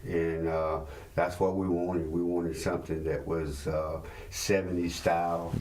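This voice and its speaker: Deep, slow man in his late sixties. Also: level tone, a little mellow. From South Carolina, United States. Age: late 60s. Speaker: musician being interviewed. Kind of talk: reflective interview talk.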